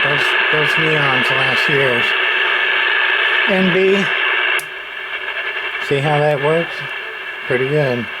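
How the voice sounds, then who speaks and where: Slow-talking man at a radio workbench. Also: on the high side, very noisy. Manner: mutters test calls and readings. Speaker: radio repairer. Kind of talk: casual workbench commentary.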